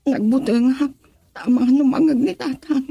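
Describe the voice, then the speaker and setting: A high, close and dry young female voice with a Filipino accent. She is the anguished sweetheart of a radio drama, emotional and pleading, torn between love and family. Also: moderate pace, gravelly, dark tone, breathy edge, dry recording, full character voice. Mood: tender, worried. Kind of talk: Ilocano radio drama acting.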